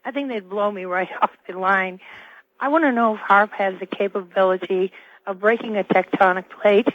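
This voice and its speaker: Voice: mid-range voice, moderate pace. A caller phoning in to a radio talk show, chatting casually over a telephone. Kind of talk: casual call-in chat. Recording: dull lo-fi telephone line.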